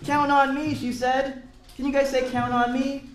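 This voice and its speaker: A man's voice: very high-pitched, level tone, normal speed, a little hiss or hum. Man reading aloud to children in echoey room. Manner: encouraging, chatty asides explaining words to children. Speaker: read-aloud storyteller for children. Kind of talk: animated picture-book reading with questions to a young audience. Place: roomy indoor space with a group of children.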